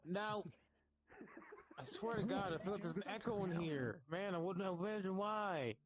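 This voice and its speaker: A man's voice: high voice, normal speed, background noise. A man leading an improvised roleplay mission briefing as game master, informal and sweary, putting questions to the group. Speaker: game master playing a guild quest giver. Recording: loud background music. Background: music.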